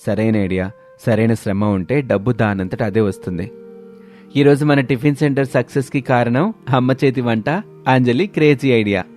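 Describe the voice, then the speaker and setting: Male narrator as grateful family man, earnest, emphatic, mid-pitched, storybook drama over light music. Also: normal speed, a little mellow, close to an everyday voice. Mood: proud.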